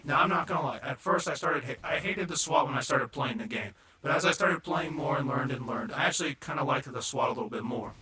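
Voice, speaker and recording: A man's voice: mid-range voice, sharp tone, reverberant, slight background noise, low-quality recording. A man giving casual gaming commentary in a chatty, repetitive way, his voice sounding robotic. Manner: chatty, keeps repeating "not gonna lie". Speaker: gaming video creator.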